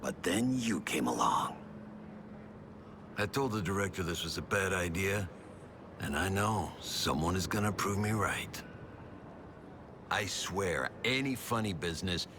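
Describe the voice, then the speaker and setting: Game role: stern male commander, low register, terse, fed up, military. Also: heavily acted. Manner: terse, disgruntled. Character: stern, weary military squad commander man. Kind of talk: video game character acting. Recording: game audio with clear ambient noise.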